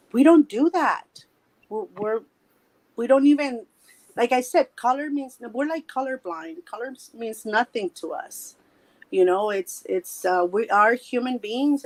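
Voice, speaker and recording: A woman's voice: fairly high pitch, poor audio. Earnest Salvadoran woman calling in. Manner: earnest, repeats words, halting. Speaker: guest caller. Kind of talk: personal storytelling.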